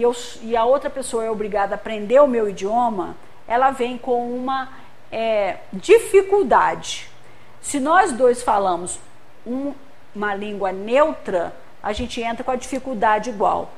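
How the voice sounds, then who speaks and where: A woman from Brazil gives a lecture with a high, light voice. She explains things patiently, uses homely examples and keeps checking that her listeners are following. Her tone is that of a practiced speaker addressing a gathered audience. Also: low-quality recording. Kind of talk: spiritist center lecture.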